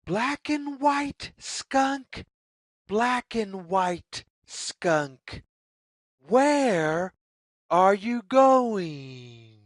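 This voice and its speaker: A woman narrates a children's storybook at an extremely unhurried pace. Her pitch swings up and down in a sing-song way, with careful, deliberate phrasing. The recording is dry, with very little room sound. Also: big pitch swings, no echo. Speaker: children's read-aloud narrator, teacher. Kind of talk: slow, expressive picture-book read-aloud for young children.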